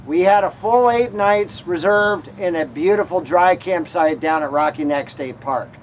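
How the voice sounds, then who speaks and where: High-voiced man hosting a travel show. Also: measured pace, a little hiss or hum, poor audio. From Connecticut. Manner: wry, mildly aggrieved storytelling.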